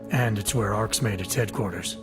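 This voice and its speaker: A low-voiced male game agent, played by an actor, guarded and skeptical. He talks with restraint and no nonsense, over a music score. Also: moderate pace, close to an everyday voice. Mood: guarded, determined. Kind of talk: video game cutscene acting.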